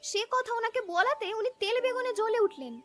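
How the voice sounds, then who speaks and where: A sharp-tongued young cartoon village wife, voiced by a woman, argumentative and suspicious. She fires off accusations quickly in a high, bright voice with music behind. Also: lively intonation. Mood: accusing. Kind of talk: cartoon voice acting.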